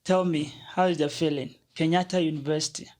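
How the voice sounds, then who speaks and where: A man hosts an interview with student representatives, his voice somewhat high for a man. He keeps the talk going with quick affirmations and follow-up questions. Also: steady tone, darkish tone. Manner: encouraging, frequent affirmations. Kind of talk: interview hosting.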